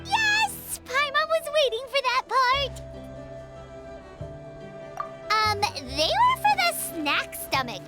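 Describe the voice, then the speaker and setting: A tiny, gluttonous game fairy, squeaky and extremely high. The voice swoops wildly up and down with childlike bluntness and curiosity, over clear background music. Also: female voice, very animated, unhurried, full character voice. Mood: gleeful, greedy. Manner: refers to self in third person, exclaiming. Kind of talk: video game voice acting.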